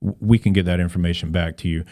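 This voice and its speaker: A man's voice: low voice, fairly even intonation, fast, mellow. A man talking as a podcast guest, explaining things in an earnest, conversational way. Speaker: podcast guest, civic club member. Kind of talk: conversational interview.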